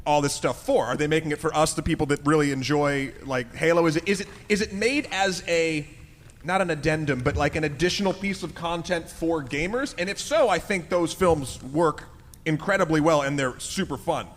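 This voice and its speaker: A man's voice: on the high side, normal speed. A man co-hosting a live podcast panel with casual, conversational delivery. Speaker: podcast host. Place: large convention panel room. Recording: echoey hall, live PA.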